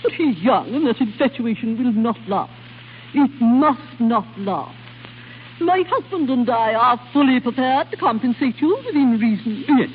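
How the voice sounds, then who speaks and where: An actress playing an imperious middle-aged duchess in an old radio drama, stern, clipped and commanding. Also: female voice, a little high, lively intonation, measured pace. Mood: cold, insistent. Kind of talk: 1940s radio acting. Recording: tinny, hissy old radio broadcast.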